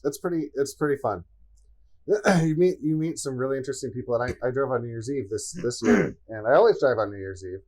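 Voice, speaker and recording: A man's voice: mid-range voice, a little fast. A man co-hosting a casual podcast chat, telling easygoing stories and laughing easily. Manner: relaxed storytelling, easy laugh. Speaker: podcast co-host.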